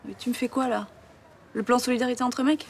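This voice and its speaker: A woman's voice: on the high side, steady tone, fairly quick, a little hiss or hum, close to an everyday voice. An actress playing a hurt, reproachful young woman. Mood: bitter. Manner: sharp, accusing. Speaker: Samia. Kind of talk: soap opera acting.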